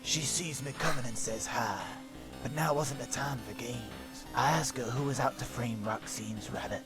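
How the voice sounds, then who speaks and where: Guy doing a dopey hardboiled cartoon detective, deadpan noir monologue, super self-important, music playing loud behind him. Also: mid-range voice, moderate pace, lightly acted. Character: self-important, dim hardboiled pony-world private eye. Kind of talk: film noir detective parody narration. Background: background music.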